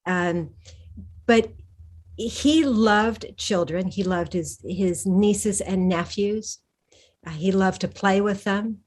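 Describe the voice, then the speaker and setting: A woman author in an interview, answering thoughtfully with frequent restarts and pauses. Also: mid-range voice, a little mellow. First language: English. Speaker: historical fiction author, guest.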